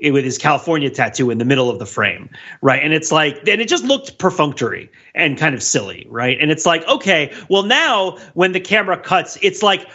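A man on a podcast giving casual, animated, digressive commentary, often checking in with "right?". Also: a little high, a little fast, muffled recording. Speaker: podcast host. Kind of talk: casual podcast discussion and critique.

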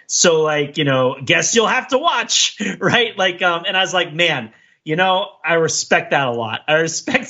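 Animated, bright-voiced man with a husky edge. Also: a little high, lo-fi sound. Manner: emphatic, acts out imagined quotes, chuckles mid-sentence. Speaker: podcast panelist. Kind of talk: casual TV show discussion.

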